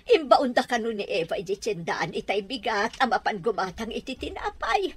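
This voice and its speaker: Woman acting high-voiced, anguished middle-aged mother. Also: sing-song, normal speed, breathy edge. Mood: anguished, urgent. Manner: anxious, wailing, pleading. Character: distraught middle-aged mother fretting over her missing child. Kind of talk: Ilocano radio drama acting.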